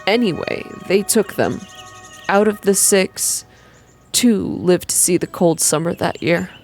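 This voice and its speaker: A woman voicing a shy, wistful man telling stories in a quiet, hesitant, calming manner, in an ASMR game reading. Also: lowish voice, a little slow, faint music behind.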